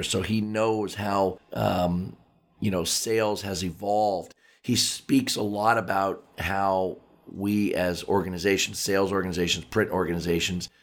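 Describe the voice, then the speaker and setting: A male podcast host speaks in a low, gravelly register, his voice raspy and hoarse from overuse. He chats casually, often doubling back on words and filling pauses with ums. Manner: chatty, repeats words, many fillers.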